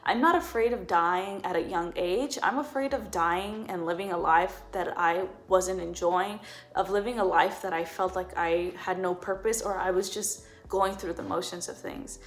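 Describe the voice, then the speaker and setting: A woman's voice: fairly low pitch, moderate pace, slight background noise. A woman in her twenties talking earnestly in a personal vlog. She often repeats words for emphasis. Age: 20s. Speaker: vlogger.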